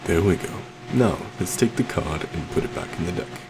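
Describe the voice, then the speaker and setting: Man acting a suave deep-voiced, gravelly stage magician. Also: expressive, normal speed, background noise. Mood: playful showmanship. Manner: theatrical, courtly, soft coaxing with terms of endearment. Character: suave, possessive adult male stage magician, sweetly menacing. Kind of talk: ASMR roleplay acting.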